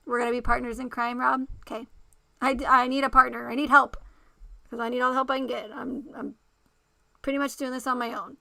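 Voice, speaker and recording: A woman with a fairly high, bright voice hosts a relaxed livestream and talks to her viewers by name. Her voice is gravelly and going raspy, and she circles back to the same points. The sound is dry and close, with no room echo. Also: brisk. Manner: talks straight to the chat, repeats herself. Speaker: livestream host. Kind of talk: casual livestream chat with viewers.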